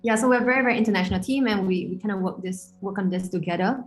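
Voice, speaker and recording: A woman's voice: medium pitch, very fast. A woman from Singapore introducing a student project, friendly and hesitant with many fillers. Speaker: student interviewer. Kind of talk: casual interview introduction.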